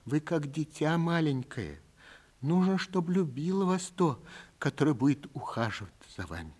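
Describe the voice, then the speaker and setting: A man voicing a gentle, resigned old woman who speaks quietly and earnestly in a literary story. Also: a little high, sing-song, normal speed, voice pushed up. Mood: tender, sorrowful. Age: older.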